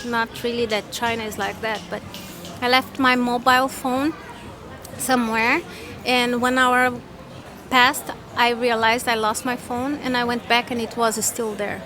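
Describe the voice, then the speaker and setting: A woman's voice: fairly high pitch, flat delivery, measured pace, music in the background. A middle-aged woman from Brazil, chatting casually as an interviewee outdoors.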